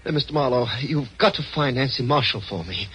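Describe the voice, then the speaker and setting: A man acting a worried, quiet insurance broker in a 1940s radio drama, with a tremulous voice and nervous stammering. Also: mid-range voice, lively intonation, brisk, close to an everyday voice. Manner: nervous, stammering, repeats words. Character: anxious, quiet male insurance broker, a fretful groom-to-be. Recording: noisy old-time radio recording.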